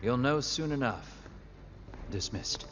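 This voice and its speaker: A man playing a composed, knowing older naval officer, measured and quietly commanding, in an animated sci-fi drama. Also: medium pitch. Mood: calm approval. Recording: slightly dull, music behind.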